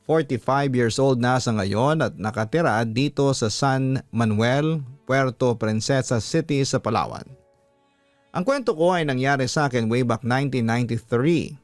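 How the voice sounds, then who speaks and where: A Filipino man narrates a scripted story in the first person, as the host of a story channel. His voice has a middle pitch and is recorded close and dry, with faint music behind it. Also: no echo. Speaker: horror story channel narrator reading a listener's letter.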